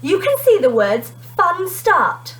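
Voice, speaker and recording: A woman reading the part of a kindly, measured male schoolteacher in a storybook. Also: very high voice, sing-song, fairly bright tone, pitched above the performer's own voice, full character voice. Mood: gentle, regretful.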